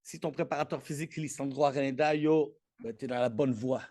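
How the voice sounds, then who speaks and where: Man in his 40s, Québécois French accent, Spanish native speaker, warm mellow voice, dry close sound, self-promoting, stumbling restarts. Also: medium pitch, fairly even intonation, fairly quick, no echo. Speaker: guest, athletic trainer. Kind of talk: casual interview chat.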